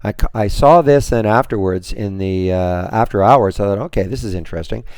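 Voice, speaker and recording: Hoarse man rambling through a trading recap. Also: fairly low pitch, a little fast, a little mellow, no echo, studio quality. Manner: rambling, many restarts and fillers. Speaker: trading room moderator. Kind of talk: screen-share trading recap.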